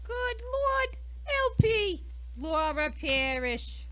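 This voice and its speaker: Woman acting excitable young companion, exclamatory, radio whodunit, high pitch, swinging intonation. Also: extremely slow, heavily acted. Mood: astonished. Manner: exclamatory, animated. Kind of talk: amateur radio mystery acting.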